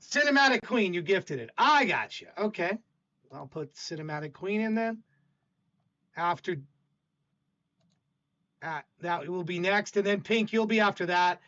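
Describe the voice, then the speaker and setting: An older man with a loud voice hosting a livestream, chatting casually and stammering as he talks to chat. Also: high voice, expressive, measured pace, long pauses, lo-fi sound. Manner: rambling, stammering, warm toward chat. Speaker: stream host.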